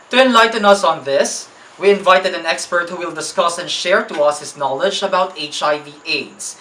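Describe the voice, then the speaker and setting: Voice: medium pitch, pushed and bright. A talk show host with a Filipino accent, presenting and putting questions in a scripted, formal way. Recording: echoey, distant mic, faint noise.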